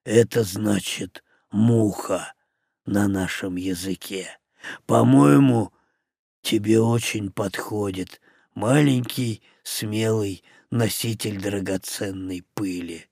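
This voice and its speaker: A man voicing a wounded male orc who talks slowly in a low, quiet rumble. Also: fairly even intonation, creaky, no echo, clean studio sound, full character voice. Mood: shy. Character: wounded male orc, deep and slow-spoken.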